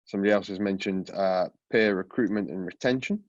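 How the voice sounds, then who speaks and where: A man with a cold, sounding congested, presenting a product webinar with a relaxed manner and many fillers. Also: low voice, fairly quick, no room sound, dull audio. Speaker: product coach giving a webinar talk. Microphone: video call.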